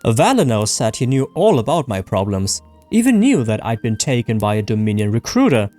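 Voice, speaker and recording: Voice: mid-range voice, very animated, fairly quick, dark tone, soft background music, pitched below the performer's own voice, lightly acted. A narrator voicing an earnest, resolute young recruit to a band of rebels in a storybook reading.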